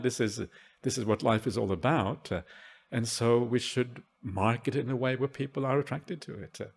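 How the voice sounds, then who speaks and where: A man, a Buddhist monk, answering questions at a meditation retreat in a gentle, halting way with many "uh" fillers. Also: medium pitch, breathy edge. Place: retreat hall at a forest monastery.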